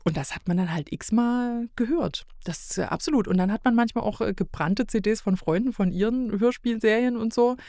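A woman's voice: fairly high pitch, fairly quick, few pauses, warm tone, no room sound, studio quality. A middle-aged woman from East Germany chatting casually as a podcast guest, talking emphatically and rambling as she corrects herself. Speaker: podcast guest, self-employed illustrator.

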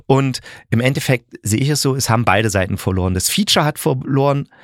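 A man's voice: medium pitch, lively intonation, fairly quick, dry recording. Middle-aged man, casual podcast review, dry sound. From East Germany. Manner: colloquial, blunt, thinks aloud with self-corrections. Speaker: podcast host, audio drama reviewer. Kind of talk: casual opinionated review monologue.

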